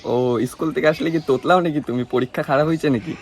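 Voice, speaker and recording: A man's voice: fairly high pitch, very fast, a little hiss or hum. A young man, chatting casually and teasingly on a prank call. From West Bengal, India. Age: young adult. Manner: teasing, playful. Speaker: prank caller, vlogger. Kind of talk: casual teasing phone chat.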